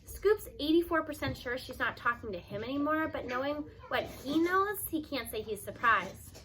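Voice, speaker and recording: Woman, home read-aloud of a novel, acted dialogue, high voice, faint background noise. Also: lively intonation, a bit bright, poor audio. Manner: acts out dialogue with raised voice and slows for emphasis. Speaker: reader reading a novel aloud. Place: home with children nearby.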